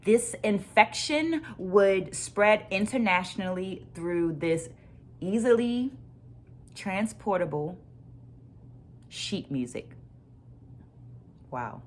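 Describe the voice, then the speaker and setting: A woman hosting a book club vlog, sharing her takeaways in a deliberate, emphatic way and repeating phrases to stress them. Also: mid-range voice, lively intonation, a little hiss or hum. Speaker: host of a virtual book club. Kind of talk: book discussion vlog with takeaways and read quotes.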